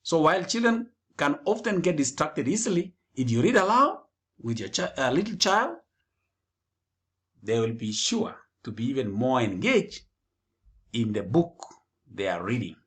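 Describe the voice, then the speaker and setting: A man giving a scripted educational presentation, speaking deliberately and phrase by phrase. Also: mid-range voice, big pitch swings, a bit bright.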